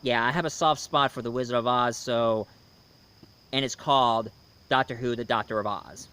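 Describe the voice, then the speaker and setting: A man's voice: mid-range voice, fairly even intonation, moderate pace, sharp tone, clean tone. A man hosting a review, talking casually to his viewers with some dramatic emphasis. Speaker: online reviewer and host.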